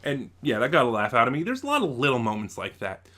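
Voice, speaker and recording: Bright-voiced man giving a casual review. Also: mid-range voice, huge pitch swings, fast. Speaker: online reviewer, show host. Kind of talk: casual spoiler review of an audio drama.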